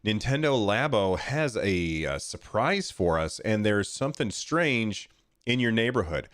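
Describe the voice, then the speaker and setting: Low, nasal, stuffed-up male podcast host. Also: sing-song, a little slow, a bit bright, no echo, clean studio sound. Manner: informal, chatty, addressing listeners directly. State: congested from a cold. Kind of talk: casual solo podcast talk. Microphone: close podcast microphone.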